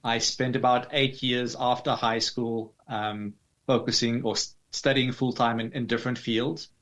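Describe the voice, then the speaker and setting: A man with a South African accent answering interview questions in a measured, explanatory way with formal wording. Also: medium pitch, a bit bright. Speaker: interview guest, digital artist.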